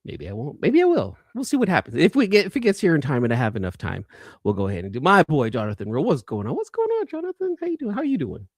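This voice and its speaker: A man's voice: fairly high pitch, wildly swooping pitch, fast talker, no room sound, clean studio sound. A man hosting a casual livestream, chatting with viewers in a rambling, conversational way and often repeating his phrases. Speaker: livestream host and toy collector. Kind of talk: casual live chat, reading viewer comments.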